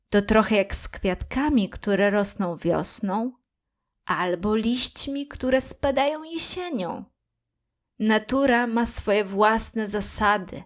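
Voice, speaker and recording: A woman playing a patient, loving mother in a children's story, explaining things in a soothing, affectionate way. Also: a little high. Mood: patient, gentle.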